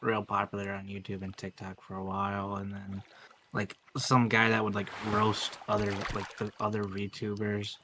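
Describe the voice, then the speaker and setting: Rambling man with a lowish voice. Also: completely flat delivery, normal speed, background noise. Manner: rambling, heavy use of "like". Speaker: streamer co-host. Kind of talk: casual gaming stream chat.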